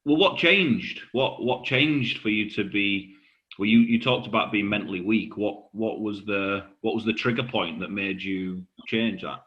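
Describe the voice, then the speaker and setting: A man's voice: fairly low pitch, a little slow, a bit bright, lo-fi sound. A man chatting informally and matily as an interviewer. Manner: matey, informal.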